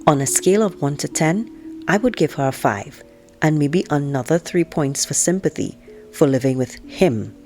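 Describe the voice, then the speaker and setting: An outspoken teenage girl voiced by a woman reader tells her family story in a low-pitched voice. She is frank, opinionated and indignant, with a sarcastic, conversational edge, as in an audiobook. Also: expressive, moderate pace, dark tone, soft background music. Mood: frank, musing. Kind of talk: first-person audiobook read-aloud.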